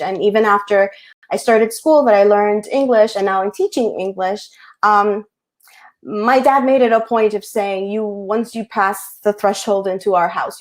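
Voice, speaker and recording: Animated woman on a video call. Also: medium pitch. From United States. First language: Spanish. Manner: animated, hesitant with many ums. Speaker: picture book author. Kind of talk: casual webinar talk.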